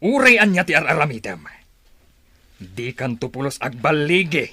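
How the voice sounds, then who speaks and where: A proud captive chief in a radio drama, defiant and unyielding. The voice is husky and sharp, at medium pitch with big swings. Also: male voice, very animated, normal speed, no echo, heavily acted. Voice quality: husky, forceful. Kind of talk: radio drama acting in Ilocano.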